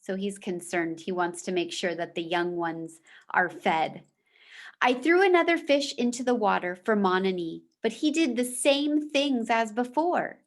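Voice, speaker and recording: A woman teacher reading a children's book aloud in a measured, deliberate storybook manner, with short explanatory asides. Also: mid-range voice, expressive.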